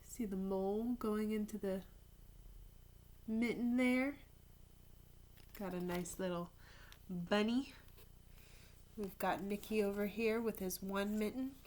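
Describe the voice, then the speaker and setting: A woman teacher reading a picture book aloud to children in an expressive storytelling style, with casual explanatory asides. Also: medium pitch, slow pace, pauses often. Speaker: third grade teacher reading to pupils.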